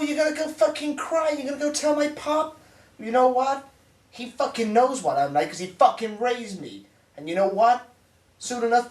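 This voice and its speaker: Man playing bullying spoiled heir, foul-mouthed, dark comedy drama, high-pitched male voice, distant from the mic. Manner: profane, aggressive, ranting. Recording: roomy echo, distant microphone.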